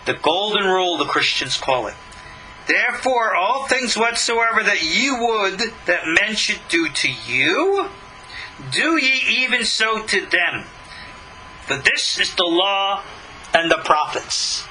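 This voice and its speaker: A man's voice: sits high, big pitch swings, slow pace, pushed and bright, a little hiss or hum, rough recording. A man giving a religious lecture as a rabbi, reading scripture and commenting in an emphatic, argumentative way with rhetorical questions. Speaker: rabbi teaching a class.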